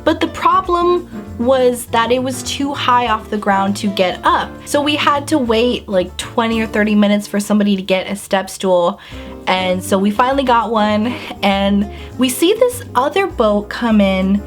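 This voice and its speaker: A young woman vlogger telling a story to the camera in a bubbly, animated way, filler-heavy and acting out her own reactions. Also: a little high, moderate pace, faint music behind. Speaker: beauty and lifestyle vlogger. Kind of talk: casual storytime vlog.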